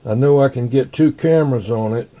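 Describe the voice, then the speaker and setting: A man with a soft, mellow voice chats casually as a livestream host. He rambles along without hurry, often muttering half to himself. His tone is relaxed and dark, at an ordinary male pitch. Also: brisk.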